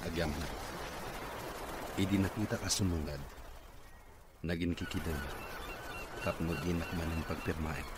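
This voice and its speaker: Grumpy low-voiced Ilocano boss. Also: male voice, steady tone, unhurried, pauses often. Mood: gruff. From Philippines. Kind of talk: radio comedy drama acting. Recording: very noisy background ambience.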